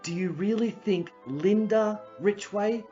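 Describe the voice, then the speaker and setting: A woman's voice: medium pitch, slow pace, mellow, natural voice. A woman playing an earnest, affectionate young man in love in an audio play, eager to prove himself. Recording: muffled audio, faint music and chatter.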